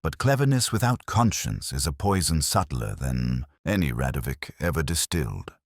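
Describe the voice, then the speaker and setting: A man voicing a reflective, weary detective in an audiobook, measured and eloquent, with wry philosophical speeches. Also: extremely low pitch, big pitch swings, vocal fry, dry recording, clean studio sound, exaggerated voice. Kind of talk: audiobook character dialogue.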